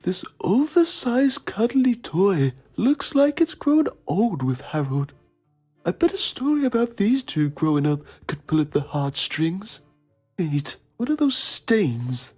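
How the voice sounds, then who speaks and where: Pompous documentary guy, husky, swooping all over the place, very theatrical game narration, faint music behind. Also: medium pitch, sing-song, slow, darkish tone, full character voice. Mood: pompous musing. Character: pretentious, self-admiring male documentary journalist. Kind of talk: melodramatic mock-documentary narration.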